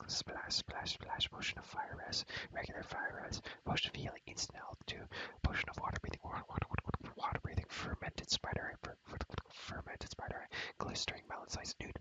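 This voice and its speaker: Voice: bright, forceful tone, rough recording. A whispering ASMR creator giving a casual, slangy gaming tour, often repeating words and phrases in a rhythmic way. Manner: slangy, casual, mild swearing. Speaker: video creator giving a game world tour. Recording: music behind the whispering. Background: music.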